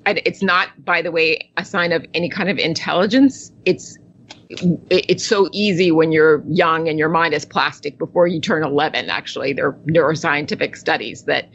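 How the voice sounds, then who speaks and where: A woman's voice: deep voice, moderate pace, creaky. A woman in her sixties, born in Italy and raised in the United States, telling her family story as an interview guest and laughing often. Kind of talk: conversational interview. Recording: slightly dull lo-fi audio.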